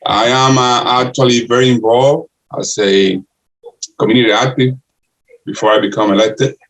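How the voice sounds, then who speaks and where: An older man from the Republic, answering interview questions in halting English full of restarts. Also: medium pitch, fairly even intonation, fairly bright tone, rough recording. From Dominican Republic. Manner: halting, many restarts and uh fillers. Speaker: guest, city councilor and candidate.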